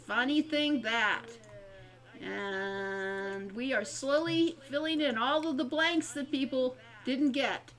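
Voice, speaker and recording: A woman with a high, bright voice co-hosts a casual game stream. She speaks slowly and chats informally while running the contests. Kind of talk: casual game-show chat.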